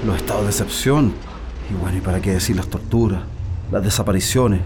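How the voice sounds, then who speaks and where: An actor playing a polite, sympathetic reporter who speaks with courteous hesitation in a radio drama. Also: male voice, fairly low pitch, a little fast, noisy background, lightly acted. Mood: sympathetic, sombre. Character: polite, sympathetic male reporter interviewing a family. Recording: music bed under the voice.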